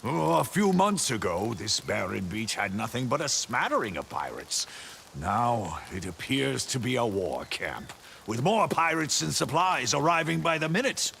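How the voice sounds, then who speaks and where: An actor voicing a chivalrous, pompous but bumbling good-natured knight in a video game, with florid, theatrical speech and flustered hesitations. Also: male voice, medium pitch, sing-song, normal speed, vocal fry, fairly bright tone, slight background noise. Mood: flustered, hesitant.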